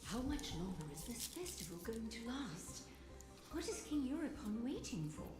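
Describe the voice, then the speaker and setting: Echoing, impatient, ruthless conspirator. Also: female voice, on the high side, big pitch swings, moderate pace. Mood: impatient, suspicious. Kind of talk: video game voice acting. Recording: echoing, music behind.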